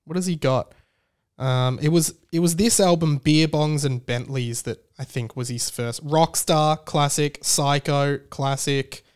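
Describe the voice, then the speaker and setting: Higher-voiced man, casual rant. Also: a little slow, clean tone. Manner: rambling, blunt, swears casually. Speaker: podcast host. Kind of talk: casual comedic solo rant.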